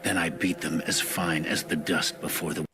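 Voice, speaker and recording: A man reading scripture as a scripted narration, in a measured and solemn manner. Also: extremely low pitch, fairly even intonation, noisy background. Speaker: audio Bible narrator. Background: music.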